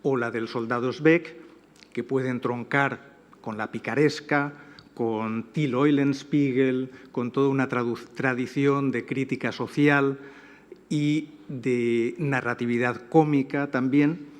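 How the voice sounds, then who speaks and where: A man moderating a literary panel, asking measured, articulate questions. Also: mid-range voice, steady tone, long reverb tail.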